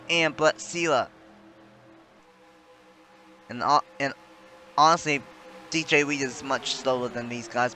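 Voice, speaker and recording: Male sim-racing commentator, slightly high voice with a forceful edge, rambling live play-by-play that corrects itself as it goes.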